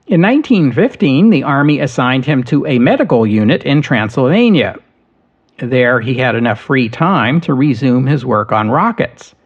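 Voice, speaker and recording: A man tells history in a measured, steady way, reading from a prepared script at a middling pitch. The recording sounds slightly dull and lo-fi. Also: breathy edge. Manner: measured, steady storytelling delivery. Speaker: history podcast host.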